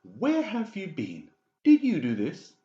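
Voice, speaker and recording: A man's voice: high voice, wildly swooping pitch, slow pace, dark tone, roomy sound, lo-fi sound, pitched above the performer's own voice, heavily acted. A man voicing a conniving, cowardly doctor who barks at patients and cringes before his boss in an audiobook drama. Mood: aggressive barking. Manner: barking, aggressive, cringing to his superior.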